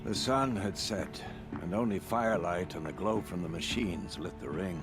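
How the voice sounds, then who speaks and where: Give me an actor as an older veteran hunter from a video game, self-satisfied and theatrical. His low voice is measured and pompous, a storyteller's delivery with music clearly behind it. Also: lightly acted. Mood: solemn, haunted. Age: middle-aged or older. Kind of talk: video game dramatic acting.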